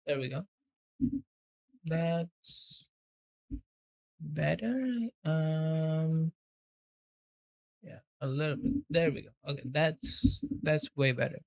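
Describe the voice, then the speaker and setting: A man's voice: fairly high pitch, fairly even intonation, slow, creaky, long pauses, dry recording, poor audio. A man doing casual live gameplay commentary, muttering to himself and swearing freely. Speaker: game streamer. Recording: game music behind the voice.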